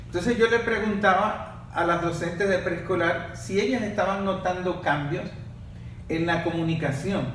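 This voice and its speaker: A man's voice: high-pitched, normal speed, fairly bright tone, a little hiss or hum. A man hosting a casual panel talk, reflecting and building his points step by step. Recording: echoey room, far from mic.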